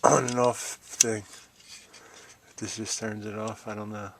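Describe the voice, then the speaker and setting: A man's voice: on the low side, little pitch movement, slow pace, noisy background. A middle-aged or older American man giving a casual, folksy hands-on show-and-tell, musing as he goes. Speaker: DIY video host.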